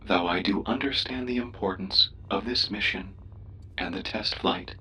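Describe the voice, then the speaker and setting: A man plays a formal, courteous male starship computer from a sci-fi game. The voice is low and measured, cautious and deferential. It sounds set back from the mic, with faint noise behind it. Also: pushed and bright, far from the mic, rough recording. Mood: cautious, concerned. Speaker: ship's computer voice assistant. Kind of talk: scripted sci-fi game voice pack.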